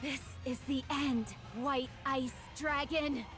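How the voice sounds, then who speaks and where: A woman's voice: high voice, steady tone, slow pace, fairly bright tone, faint music behind. A woman voicing a boastful young heroine in an English anime game dub, speaking in grand, dramatic declarations. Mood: triumphant. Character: theatrical, boastful young heroine who fancies herself a dragon slayer.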